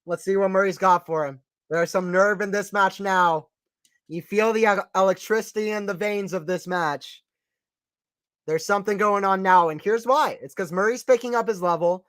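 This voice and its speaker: A man's voice: high-pitched, normal speed, no room sound. A man from the American South with a slight Southern accent, giving casual live play-by-play commentary on a tennis match and chatting with viewers. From Atlanta, Georgia, US. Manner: excitable, rises sharply on big points; casual, chatty, answers chat questions.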